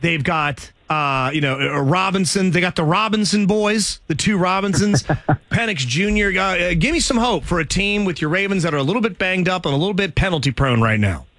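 A man's voice: high voice, animated, fairly bright tone, no echo. A man hosting a sports radio show, joking around and interviewing a caller. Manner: jokey, teasing, reads promos quickly. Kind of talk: casual sports radio chat. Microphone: studio microphone.

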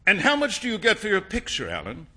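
Man reading as a soft-hearted young gent in a storybook, gentle earnest voice, slightly husky. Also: sits high, huge pitch swings, fast, pitched above the performer's own voice, exaggerated voice. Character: kind-hearted young gentleman, easygoing and generous.

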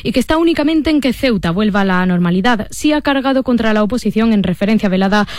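Woman, Castilian Spanish accent, political reporter, scripted, rapid, dry close recording. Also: medium pitch, lively intonation, darkish tone, no room sound. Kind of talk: scripted radio news report.

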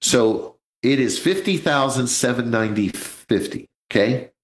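A man's voice: medium pitch, animated, measured pace, reverberant, low-quality recording. A man in his sixties hosting a live financial radio show, chatty and joking, calling his listeners folks. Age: 60s. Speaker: radio host, financial advisor.

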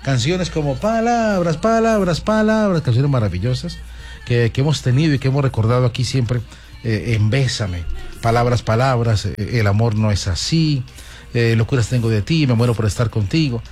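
A man's voice: mid-range voice, normal speed, a little mellow, faint music behind. A man hosting a talk show, telling a fable to his audience and greeting them effusively. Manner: effusive, repeats "gente linda" often. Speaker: host.

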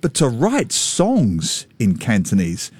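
A man hosting a lively radio talk show in the studio, energetic and teasing. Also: medium pitch, slow, breathy edge, no echo.

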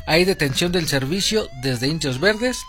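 Higher-voiced Mexico City man, radio reporter. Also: lively intonation, unhurried, background noise. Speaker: radio traffic and weather reporter. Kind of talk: informal radio report and banter. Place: radio studio. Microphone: studio microphone.

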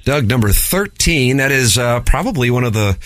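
A man's voice: medium pitch, expressive, moderate pace, fairly bright tone, no echo. Wry, teasing male radio host. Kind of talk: sports talk radio banter. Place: radio studio.

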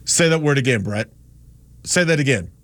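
A man's voice: medium pitch, expressive, normal speed, a bit bright. Chatty self-mocking radio host man. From Gastonia, North Carolina. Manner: rambling, self-deprecating, chatty. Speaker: radio talk show host. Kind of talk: casual talk radio chat. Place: radio studio. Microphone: studio microphone.

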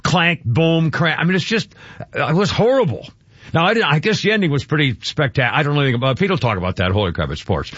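A man's voice: fairly high pitch, very animated, fast, fairly bright tone, slightly rough, dry recording. A man hosting a talk radio show, rambling with many restarts and fillers in casual opinionated chat. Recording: dull lo-fi radio broadcast, dry.

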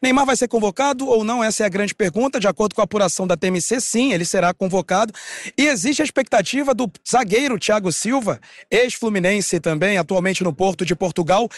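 A man reporting live from outside a museum, steady and informative with a few fillers. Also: sits high, no echo. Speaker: field reporter. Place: outdoors at a city museum.

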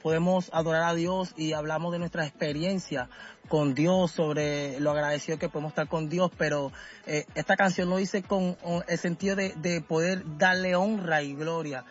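Hesitant man with a higher-pitched voice. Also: steady tone, dull audio. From Caracas, Venezuela. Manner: hesitant, halting, often searching for words. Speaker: musician being interviewed. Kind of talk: casual radio interview.

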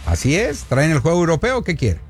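Man, sports talk co-host, lively swooping pitch, playful and teasing, faint music underneath. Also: brisk, husky. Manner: playful, teasing, jokes and laughs. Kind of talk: casual sports chat reading viewer comments.

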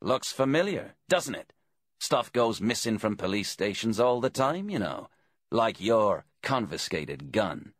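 A man playing a smug, husky bent detective. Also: lowish voice, very animated, unhurried, dry recording, clean studio sound, pitched above the performer's own voice. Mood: taunting. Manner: cheerfully taunting, casual. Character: smug, cynical, corrupt male police detective inspector.

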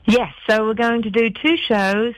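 A middle-aged English woman chatting as a guest in a radio interview, quick to laugh. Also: a little high, steady tone, no echo, low-quality recording. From England. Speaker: guest singer.

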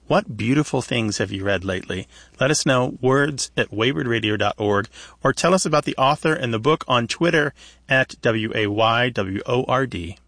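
A man's voice: medium pitch, normal speed, a bit bright, no echo, studio quality. A man hosting a call-in radio show, explaining things in a conversational way.

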